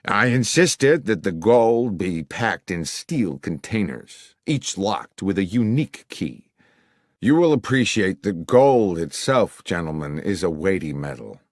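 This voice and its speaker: Man playing middle-aged gentleman client, formal, verbose, self-amused, low swooping voice, audiobook dialogue. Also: big pitch swings, a little slow, no room sound, studio quality, heavily acted. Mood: earnest, formal. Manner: formal, long-winded, jovially self-amused. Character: anxious middle-aged businessman client, verbose, pun-loving.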